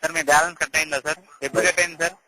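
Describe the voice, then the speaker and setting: A man's voice: fairly high pitch, little pitch movement, rapid-fire, sharp tone. A man working as a customer care agent on a phone call, speaking in polite, apologetic, scripted service phrases. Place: telephone call. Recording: noisy phone call recording.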